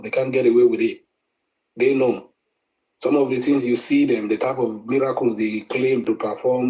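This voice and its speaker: Nigerian man, warm, mellow, mid-pitched voice; opinionated commentary with many stops and repeated words. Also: rough recording. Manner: frequent hesitations and repeated words.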